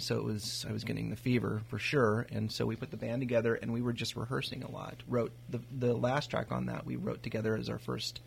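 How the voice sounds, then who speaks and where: Gravelly flat-voiced male bassist. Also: slight background noise. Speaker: bass player, guest. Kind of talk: casual storytelling.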